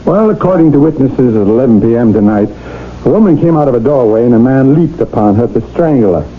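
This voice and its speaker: Actor as a matter-of-fact cop, muffled radio sound. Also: male voice, medium pitch, lively intonation, quick pace, slight background noise, lightly acted. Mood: official. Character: matter-of-fact male police officer giving a report. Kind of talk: radio drama acting. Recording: dull lo-fi old radio broadcast.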